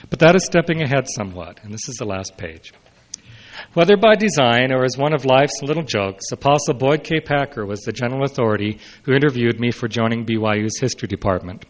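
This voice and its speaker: Man reading a prepared speech. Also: medium pitch. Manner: measured, announces quote and unquote, brief unscripted asides. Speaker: historian giving a talk.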